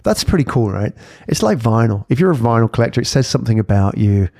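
A man's voice: mid-range voice, big pitch swings, fast talker, dark tone, no room sound, clean studio sound. A man in his forties talking in a casual, conversational podcast monologue, reflective and fond of rhetorical questions and tags. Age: 40s. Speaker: podcast host.

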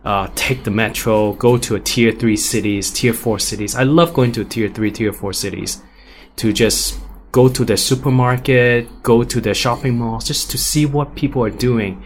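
A man's voice: medium pitch, moderate pace, a little hiss or hum. A man from Hong Kong with an American-sounding accent, speaking animatedly as a guest on a panel, restarting and repeating himself often. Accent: American-sounding English. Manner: animated, with frequent restarts and repetitions. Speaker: guest entrepreneur. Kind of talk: casual panel discussion.

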